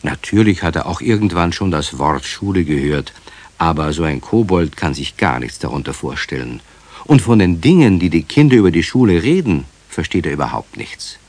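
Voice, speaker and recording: A man's voice: low voice, big pitch swings, normal speed, a little mellow, exaggerated voice. A man acting as narrator of a children's radio play, telling the story in a scripted storytelling style.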